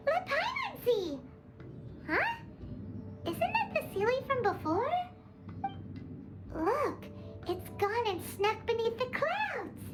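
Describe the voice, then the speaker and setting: A tiny floating sidekick creature chirps in a very high, childlike voice that leaps up and down with every exclamation. She is bubbly and excitable, and she talks about herself by name. Loud game music plays behind her. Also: takes it slowly, long pauses, heavily acted. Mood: excited. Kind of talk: video game dialogue.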